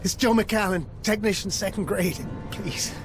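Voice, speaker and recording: A man's voice: high-pitched, steady tone, unhurried, over music. An actor playing a frightened male technician, anxious and stammering, in a sci-fi game. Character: frightened male colony technician pleading for rescue. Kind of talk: video game voice acting.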